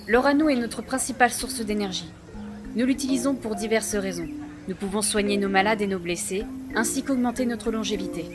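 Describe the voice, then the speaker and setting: Proud village clan chief, female, played by an actress: ceremonious, measured, average pitch, fantasy audio drama over clear music. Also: lightly acted. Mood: solemn, proud. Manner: formal, measured, ceremonious phrasing. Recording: voice under clear background music.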